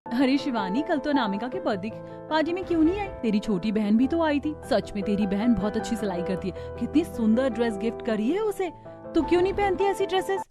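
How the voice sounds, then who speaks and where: Woman playing young cartoon college girl, high voice, gossipy, teasing, mocking, music behind. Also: lively intonation, fairly quick, natural voice. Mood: prying. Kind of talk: Hindi cartoon story dubbing.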